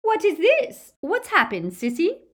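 A woman's voice: extremely high pitch, wildly swooping pitch, unhurried, a bit bright, pitched above the performer's own voice, full character voice. A woman voicing a haughty, fanatical villainous witch in an audiobook, theatrical, imperious and given to sneering and shrieking. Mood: alarmed, demanding. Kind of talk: audiobook character acting.

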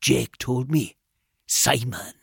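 Casting: male reader as taunting British hard lad, husky, menacing, drops into whispers, storybook villain. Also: medium pitch, steady tone, takes it slowly, dry recording, studio quality, exaggerated voice. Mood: quietly bullying. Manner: sinister, teasing, lingering, often dropping to a whisper.

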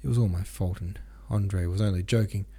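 An earnest young gentleman from a classic novel, read by a man, naive and shyly sincere. His voice is mellow with a gravelly edge. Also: low-pitched, steady tone, brisk. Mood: apologetic. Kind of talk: audiobook character reading.

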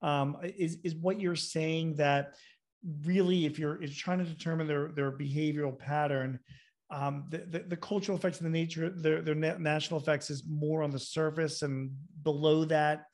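A man's voice: on the high side, fairly even intonation, moderate pace, darkish tone. A man hosting an interview, asking long, rambling questions full of fillers. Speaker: interview host. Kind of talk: interview conversation.

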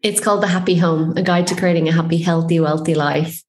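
Irish woman guest, upbeat and eager, a little low in pitch. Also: steady tone, fast. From Ireland. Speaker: guest, feng shui teacher. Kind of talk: interview conversation.

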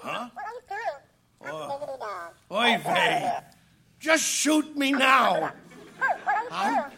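A woman's voice: high voice, big pitch swings, very slow, vocal fry, full character voice. A woman playing a grouchy, world-weary pet dog in an audio comedy, full of sardonic, exasperated wisecracks. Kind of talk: comedic audio theater acting.

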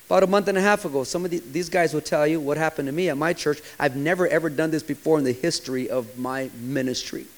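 A pastor in his forties preaching with passion, his voice sitting a little higher than most men's. He swings from raised, urgent lines to soft, hushed ones. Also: fast talker, hardly any pauses. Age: 40s. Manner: impassioned preaching, sometimes dropping to a quiet, hushed delivery. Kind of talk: sermon.

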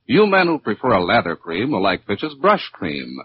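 40s radio ad guy, lowish animated voice, muffled hissy recording. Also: normal speed. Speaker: radio announcer. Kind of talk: 1940s radio commercial announcing.

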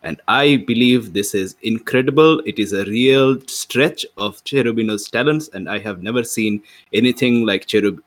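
A man chatting casually as a player in a tabletop role-playing game, improvising as he goes. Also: on the low side.

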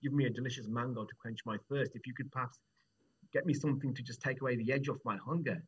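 A man playing a kindly, courteous old traveller with a gentle, measured way of talking, in an oral folktale. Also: medium pitch, lo-fi sound, slight background noise, close to an everyday voice. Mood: courteous, weary.

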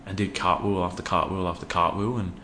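A man from Western Australia with an Australian accent, talking as an interview guest in a relaxed, laid-back way with plenty of fillers. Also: low-pitched, a little fast, slight background noise. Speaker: podcast guest, youth worker. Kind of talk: casual interview talk. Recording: echoey room.

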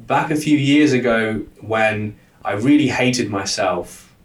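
A man's voice: on the low side, takes it slowly. Young man, stutter with lots of repeats, recorded off-mic in an echoey room. Guest coach telling stories. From lives in London. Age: young adult. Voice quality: stutter, frequent word repetitions. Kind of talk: casual interview talk. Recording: roomy, distant from the mic.

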